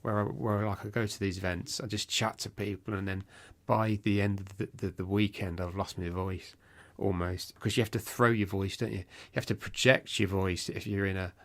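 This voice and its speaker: A man's voice: sits low, little pitch movement, moderate pace, few pauses, fairly bright tone. A British man co-hosting a podcast, talking casually and rambling with lots of restarts, his voice a little worn from talking. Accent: British English. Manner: chatty, rambling with frequent restarts. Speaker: podcast co-host. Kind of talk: casual chat.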